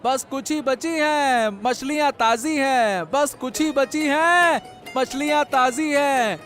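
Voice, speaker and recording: A man's voice: extremely high pitch, takes it slowly, fairly bright tone, soft background music, exaggerated voice. A man voicing a busy, good-natured village fisherman in a cartoon story, repeating himself as he goes. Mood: hawking loudly. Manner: repetitive, placating, calling out.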